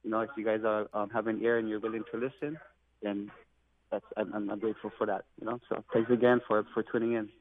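A man from Hawaii, a guest on a radio interview, explaining with earnest, halting speech full of fillers and restarts. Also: lowish voice, little pitch movement. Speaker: guest, Hawaiian language teacher. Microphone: phone call. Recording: tinny phone line, dry.

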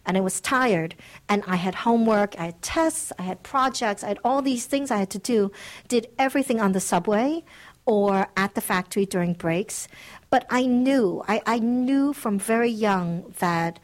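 A woman's voice: medium pitch, normal speed. Reflective woman guest, earnest. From United States. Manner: earnest, reflective, laughs lightly. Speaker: guest author. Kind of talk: reflective interview answers.

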